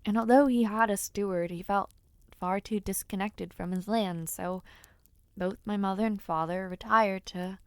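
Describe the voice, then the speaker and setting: A woman's voice: medium pitch, steady tone, moderate pace, smooth, dry recording, natural voice. Woman playing a genteel young noble lady. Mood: fond. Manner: formal, genteel speech with soft hesitant fillers. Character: young noble lady, aspiring knight, lively and affectionate. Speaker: audio roleplay performer. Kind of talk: intimate audio roleplay.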